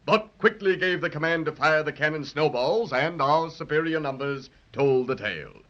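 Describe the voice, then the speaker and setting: An actor playing a pompous, deferential tin soldier captain who reports in stiff, formal, halting military style in a children's radio serial. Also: male voice, on the high side, lively intonation, sharp tone. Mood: stiff, proud. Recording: muffled, dull 1930s radio recording.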